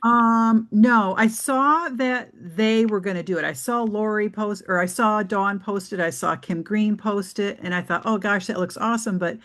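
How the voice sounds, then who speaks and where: A woman answering questions on a team video call in a relaxed, matter-of-fact way. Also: mid-range voice, normal speed, hardly any pauses. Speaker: team member and guest. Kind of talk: casual chat on a video call.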